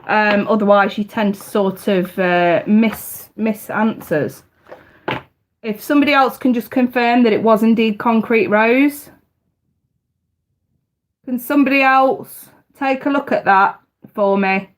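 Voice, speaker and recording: A woman's voice: on the high side, fairly even intonation, unhurried, slight background noise. A woman, casually hosting a live tarot stream, chatty and talking straight to her viewers, her voice bunged up with congestion. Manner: chatty, addresses viewers directly.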